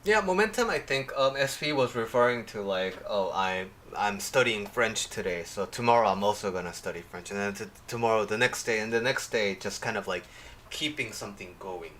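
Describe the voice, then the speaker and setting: A man hosting a casual livestream, his voice a little off from a sore throat, rambling with many pauses and talking to viewers by name. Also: on the low side, lively intonation, fairly bright tone, a little hiss or hum. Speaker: life coach hosting a livestream.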